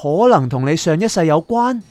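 A man acts a chatty, inquisitive lost soul in a radio drama. His voice swings widely in pitch, eager and coaxing, with music underneath. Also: big pitch swings, normal speed, a little mellow, no room sound. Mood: probing, persuasive. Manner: eager, wheedling, explanatory. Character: curious, talkative bargaining soul of an anime fan. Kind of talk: Cantonese radio drama acting.

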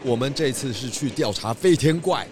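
A man's voice: mid-range voice, lively intonation, moderate pace, noisy background, natural voice. A man playing an exasperated but sensible pig detective in a children's audio drama, scolding and exclaiming. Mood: disgusted.

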